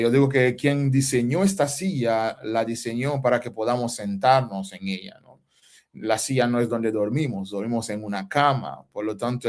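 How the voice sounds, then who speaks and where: A man's voice: medium pitch, a little mellow. A man from Haiti with a Haitian accent touched by Dominican Spanish, a pastor explaining ideas patiently with everyday examples. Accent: Haitian with Dominican influence. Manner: didactic, uses everyday examples, rising questions. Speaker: pastor, guest. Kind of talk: reflective interview answers.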